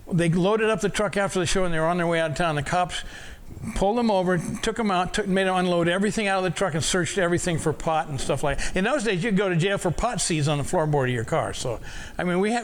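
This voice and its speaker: Casual older guy, audio engineer type, reminiscing, lots of restarts and repeats, slightly high pitch. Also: lively intonation, brisk. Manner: rambling, many restarts and repeated words. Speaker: audio engineer telling stories. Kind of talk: casual storytelling talk.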